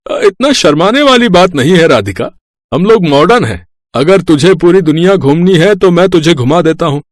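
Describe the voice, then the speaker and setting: A man's voice: fairly high pitch, lively intonation, moderate pace, no room sound, studio quality, lightly acted. A man voicing a sly, lecherous older father-in-law in a cartoon, with a coaxing, smarmy manner. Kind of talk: cartoon dubbing.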